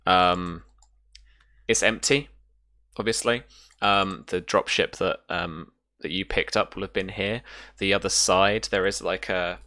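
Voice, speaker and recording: A man's voice: sits low, fairly even intonation, unhurried, sharp tone, no room sound, studio quality. A man casually narrating and explaining rules as a game master, feeling his way through explanations piece by piece.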